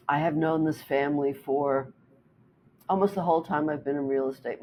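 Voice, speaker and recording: A woman real estate agent whose first language is German, calmly explaining her work and telling a client story in an interview. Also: sits low, highs cut off, a little hiss or hum. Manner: steady, explanatory, with small restarts.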